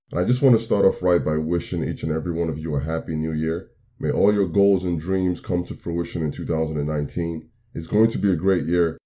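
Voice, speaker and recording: A man from Nigeria, hosting a solo motivational podcast in an upbeat, earnest and measured way. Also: low voice, warm tone. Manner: upbeat, earnest and measured, slows to repeat definitions. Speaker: podcast host.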